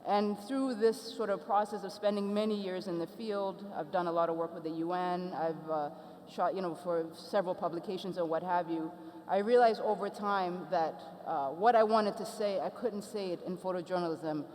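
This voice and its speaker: A woman's voice: fairly low pitch, steady tone, moderate pace, a little mellow. Woman, Ethiopian accent, conference speaker, reflective, lots of fillers, reverberant hall. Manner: earnest, reflective, with frequent fillers. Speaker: festival speaker. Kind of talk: conference talk.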